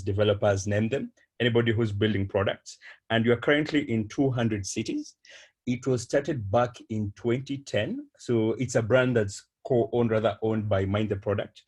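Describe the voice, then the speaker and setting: A man with a fairly low voice opens an online meetup and hands over to the speaker. He talks haltingly, with plenty of ums and uhs between phrases. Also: darkish tone. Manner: hesitant, many ums and uhs. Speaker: meetup host. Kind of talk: event introduction. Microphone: video call.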